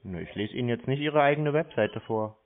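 A medium-pitched man talks over a thin, muffled telephone line. He sounds like a stubborn customer, casual and dry in how he answers. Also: expressive, a little fast. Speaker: scam-baiter posing as customer. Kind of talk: casual phone conversation. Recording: muffled narrow-band phone line.

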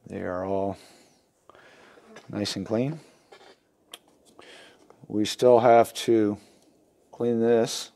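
A man in his fifties with a fairly low voice explains a hands-on repair. He is plainspoken and casual, taking things step by step as an instructor at his workbench. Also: steady tone, extremely slow, creaky, pauses often, mellow. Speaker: instructor demonstrating lantern repair. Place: indoor wooden workshop at a workbench.

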